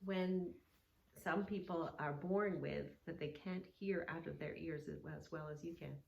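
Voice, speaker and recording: Low-pitched woman with creaky voice, roomy sound. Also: steady tone, a little slow, dull audio. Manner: encouraging, patient teaching with checking questions. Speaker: host of a children's yoga story read-along. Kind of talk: simple explanations and prompting questions to a child. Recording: roomy echo with faint background noise.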